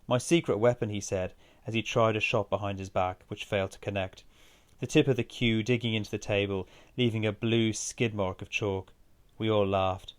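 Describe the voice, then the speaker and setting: A man's voice: lowish voice, steady tone, normal speed, smooth. A man reading his own literary short story as scripted narration.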